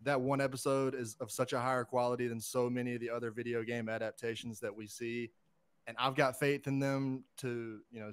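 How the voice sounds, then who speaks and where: A man's voice: medium pitch, clean tone, dry recording. A man chatting casually as a panelist on a podcast. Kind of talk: casual chat.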